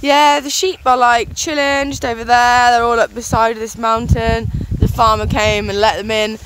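A woman's voice: on the high side, level tone, moderate pace, a bit bright, clear, resonant tone, rough recording. A young woman chatting casually to her camera while wild camping on a mountainside, laughing often. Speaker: vlogger. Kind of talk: casual outdoor vlog narration. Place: outdoors on a mountainside by a lake. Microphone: handheld camera selfie.

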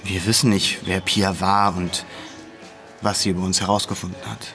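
Bitter blunt activist, audio drama, lowish voice, music under it. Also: male voice, little pitch movement, moderate pace, bright, forceful tone. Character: bitter male activist, betrayed by undercover police. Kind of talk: naturalistic audio drama acting.

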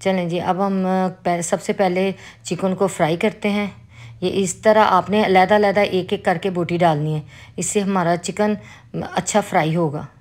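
A woman with a Pakistani Urdu accent, calmly explaining a recipe step by step as a cooking host. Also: lowish voice, unhurried. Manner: calm, instructive, with religious greetings. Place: home kitchen.

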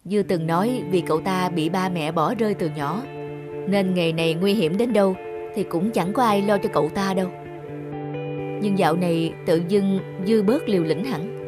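A woman's voice: medium pitch, moderate pace, over music, natural voice. A woman playing a worried, loyal male film actor in a cartoon, speaking with serious concern. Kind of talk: animated cartoon dialogue.

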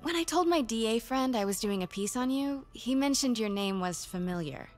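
A woman's voice: on the high side, lively intonation, normal speed, faint music behind, lightly acted. An actress playing a curious young woman reporter in a video game, friendly on the surface but persistently probing. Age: young adult. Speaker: undercover reporter.

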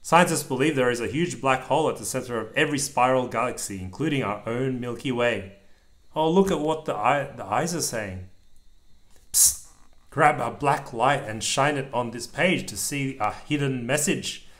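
A man reading a children's picture book aloud to a small child, pausing to explain things in simple words. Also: medium pitch, a bit bright. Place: indoors at a table over the open book.

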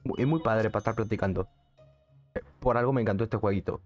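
A man's voice: lowish voice, fast talker, a little mellow, dull audio, faint music behind. Mexican man chatting over a game. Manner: chatty, jokey, answers chat while playing. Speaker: streamer. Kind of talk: casual gaming commentary.

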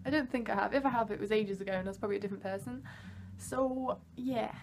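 A woman's voice: mid-range voice, fast, gravelly, a little hiss or hum. A woman in her early twenties from Wales, chatting to camera in her bedroom, giggly and self-deprecating. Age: early 20s. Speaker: vlogger. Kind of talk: casual chat to camera. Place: bedroom, indoors. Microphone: camera at arm's length.

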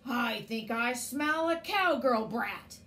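A woman voicing a mean, boastful, greedy giant man in a storybook, loud and angry, declaiming. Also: fairly high pitch, lively intonation, slow. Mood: menacing. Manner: chanting, declaiming.

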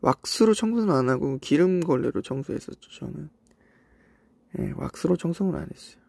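A young man hosts a voice-only livestream, chatting and reminiscing with a faint Cheongju accent. His voice is mellow and gravelly, with a husky edge, recorded dry and close. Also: on the high side, brisk, dry recording. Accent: Cheongju dialect traces in Korean. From South Korea. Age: young adult. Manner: relaxed, reminiscing, soft asides. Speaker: idol livestream host. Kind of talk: casual livestream chat reading comments. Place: indoors, voice-only stream.

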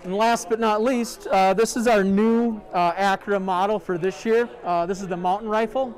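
A middle-aged man, a sales rep, showing products in a large store, talking in a halting way full of ums and uhs. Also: sits high, steady tone. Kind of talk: product demo. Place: large sporting goods store, indoors.

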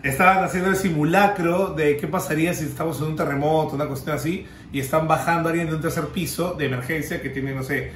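A man's voice: fairly high pitch, fairly even intonation, fast, hardly any pauses, fairly bright tone, a little hiss or hum. A young man with a Peruvian accent co-hosting a casual, joking reaction chat. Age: young adult. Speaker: co-host of a reaction show. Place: indoor radio-branded studio. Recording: roomy, distant mic, background chatter.

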